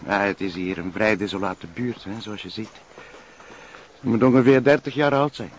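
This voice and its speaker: A man's voice: on the low side, moderate pace, close to an everyday voice. An actor playing a polite, matter-of-fact male police sidekick with a Flemish accent, mild and explanatory, in a TV crime drama. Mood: calm, informative. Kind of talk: naturalistic television crime drama acting. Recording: dull soundtrack with faint outdoor noise.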